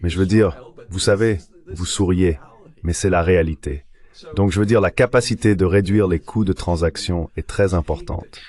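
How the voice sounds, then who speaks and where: A man's voice: sits low, normal speed, darkish tone, close to an everyday voice. A man delivering an explanatory voice-over translation for an interview guest. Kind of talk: dubbed interview commentary, explanatory.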